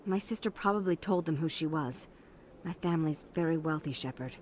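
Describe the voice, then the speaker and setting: An actress playing a wealthy, anxious diplomatic emissary in a video game, speaking in a composed, formal, measured way. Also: female voice, deep voice, a little hiss or hum, natural voice. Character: wealthy, anxious alien diplomatic emissary pleading for her sister. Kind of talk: video game dialogue acting.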